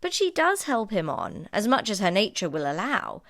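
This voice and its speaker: A woman's voice: medium pitch, wildly swooping pitch, moderate pace, a bit bright, no room sound, clean studio sound. A woman voicing a spirited, principled young woman in an audiobook novel, speaking firmly and wryly. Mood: protesting. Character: Elizabeth, spirited, principled young woman defending her sister. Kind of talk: audiobook character dialogue.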